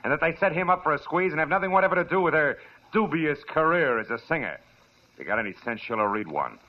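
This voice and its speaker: A man's voice: a little high, expressive, fast. A man playing a dry, wisecracking hard-boiled private detective in an old radio drama. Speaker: narrator and lead. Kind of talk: 1940s radio drama acting. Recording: dull lo-fi old radio recording.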